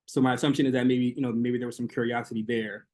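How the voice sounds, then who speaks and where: A man's voice: mid-range voice, little pitch movement, fast talker, darkish tone. A man presenting research in an academic Q&A on a video call, talking hesitantly with many fillers and restarts.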